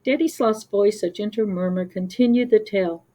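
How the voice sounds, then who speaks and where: A woman's voice: medium pitch, normal speed, warm tone. A woman storyteller reading a scripted children's story.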